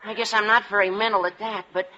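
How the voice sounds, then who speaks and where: An actor playing a naive, lovestruck American teenage schoolboy, earnest and gushing, in a 1940s radio comedy. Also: male voice, high-pitched, level tone, quick pace, sharp tone. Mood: eager pleading. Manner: earnest, gushing, self-important. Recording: muffled vintage 1940s radio recording.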